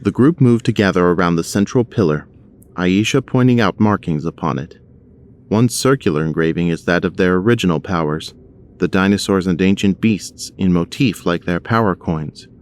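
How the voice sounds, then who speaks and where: A man's voice: sits low, moderate pace. A man narrating a scripted action audio drama.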